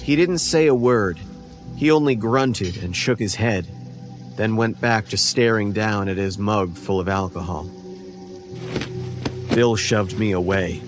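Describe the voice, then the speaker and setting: A man's voice: lowish voice, slow pace. A man narrating a scripted first-person horror story as its narrator. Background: faint music.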